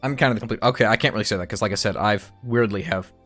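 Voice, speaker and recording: Low-voiced man hosting a podcast. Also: very fast, a bit bright, faint music behind. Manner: loose, profane, thinking aloud. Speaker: podcast host. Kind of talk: casual chat.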